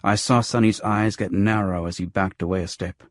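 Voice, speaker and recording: A man's voice: lowish voice, steady tone, moderate pace, no echo, studio quality. A man narrating scripted first-person noir fiction as an audiobook.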